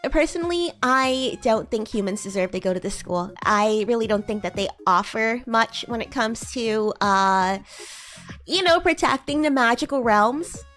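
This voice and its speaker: A woman streamer plays a young female demon class president with a high, animated voice. She is bratty and smug, teasing and talking down in improvised roleplay, with music playing behind her. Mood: haughty, challenging. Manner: teasing, condescending, giggly, halting improvisation. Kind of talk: improvised in-character livestream roleplay.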